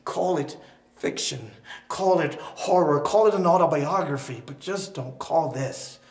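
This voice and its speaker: A man performing spoken word poetry with a dramatic, deliberate delivery, using pauses and emphasis. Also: a little high. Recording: roomy echo with faint background noise.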